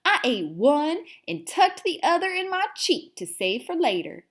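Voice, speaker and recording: A woman voicing a cocky, excitable male pet hamster telling his diary in a children's storybook, with emphatic, theatrical delivery. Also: high voice, unhurried, pitched above the performer's own voice, heavily acted. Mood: pleased. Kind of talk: first-person children's storybook read-aloud.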